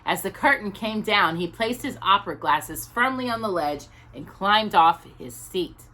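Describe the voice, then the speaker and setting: A woman reading a children's storybook aloud as narrator, expressive and emphatic. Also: mid-range voice, a little slow, poor audio. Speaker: storytime reader.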